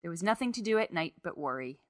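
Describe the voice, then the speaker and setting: A woman's voice: lowish voice, lively intonation, fast talker, dry recording, clean studio sound. A woman reading a first-person literary short story as narrator.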